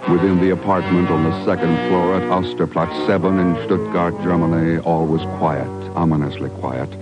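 A man's voice: sits low, fairly even intonation, moderate pace, over loud music. A man with an American accent announcing in a polished, emphatic 1950s radio style from a script. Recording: muffled old radio broadcast.

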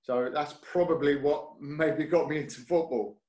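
A man's voice: on the high side, steady tone, moderate pace, darkish tone. A man from North London with a London accent, a guest talking easily in an interview, hesitant with fillers and restarts. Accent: London English. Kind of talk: casual interview chat. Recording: roomy echo.

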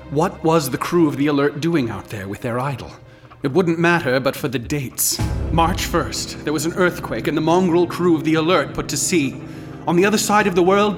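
An actor playing an intent investigator, narrating deliberately in a radio drama. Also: male voice, a little high, close to an everyday voice. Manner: deliberate, listing dates. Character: intent investigator piecing together strange events. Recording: music playing behind the voice.

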